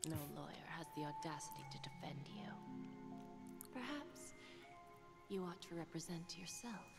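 A poised, sly woman of finance for a video game, questions her prisoner. Her delivery is silky and breathy, with teasing menace. Music plays behind her reverberant voice. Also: lowish voice, expressive, normal speed. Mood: cool menace.

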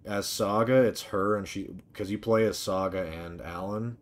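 A man's voice: on the low side, steady tone, normal speed. A man streaming a video game with casual, sweary commentary, reacting and thinking aloud. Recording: game ambience noise behind voice. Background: video game ambience.

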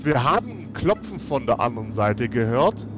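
A man's voice: medium pitch, very animated, normal speed. A man playing a tabletop roleplay game on a video call, talking casually and hesitantly, often repeating words and muttering oaths. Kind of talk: casual in-character roleplay chat. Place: home room on video call. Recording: music under the voice.

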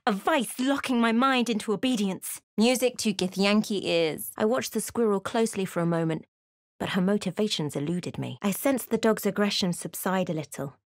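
An actress playing a thoughtful adventurer in a video game, with a measured, dramatic storytelling delivery. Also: female voice, medium pitch, no echo, studio quality, natural voice. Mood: alert, observant. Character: thoughtful adventurer woman recounting her journey. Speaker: voice actor for a player character. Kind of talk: scripted game narration and character lines.

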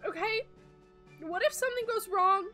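A woman's voice: high-pitched, lively intonation, normal speed, faint music behind. Woman doing a jittery cartoon spider girl. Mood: anxious. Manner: anxious, rambling, repeating herself.

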